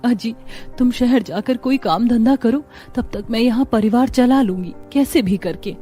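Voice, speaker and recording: A woman's voice: a little high, normal speed, mellow, faint music behind, pitched above the performer's own voice, natural voice. A woman voicing a poor, dutiful young daughter-in-law and mother in a cartoon story. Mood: encouraging.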